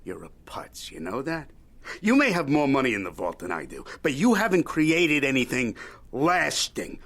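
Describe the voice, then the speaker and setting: An actor playing a shrewd, folksy old media mogul in an audio drama, haggling in a wry, needling, Yiddish-peppered way. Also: male voice, mid-range voice, big pitch swings, normal speed, fairly bright tone. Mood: scornful. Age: older.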